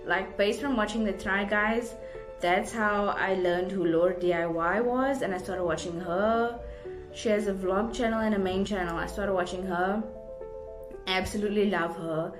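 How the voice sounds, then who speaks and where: A woman's voice: medium pitch, moderate pace. South african girl vlogger, young, gushy rambly chat, roomy echo, music playing behind her. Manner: effusive, gushing. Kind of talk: casual, rambling chat to viewers.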